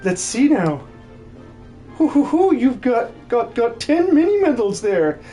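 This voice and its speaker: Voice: medium pitch, very animated, a little slow, mellow, faint music behind, voice pushed up. A performer voicing a jolly, fussy old hermit who stutters by repeating words in threes, for a video game dub. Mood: jolly, excitable. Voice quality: stuttering triple repetition of words. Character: excitable old hermit with a repeating verbal tic.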